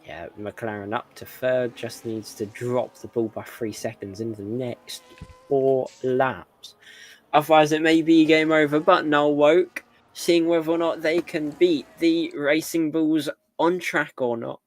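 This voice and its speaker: A man's voice: fairly high pitch, steady tone, unhurried, low-quality recording. A tired man with a sore throat doing live esports race commentary, dry and wry between calls. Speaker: sim racing commentator.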